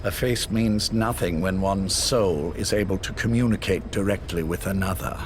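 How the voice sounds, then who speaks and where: Over a loud engine-like rumble, a male actor plays an older spymaster from a dramatic video game. His voice sits fairly low. He lectures with cold, formal assurance, measured and visionary. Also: close to an everyday voice. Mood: visionary, assured. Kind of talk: scripted dramatic game dialogue.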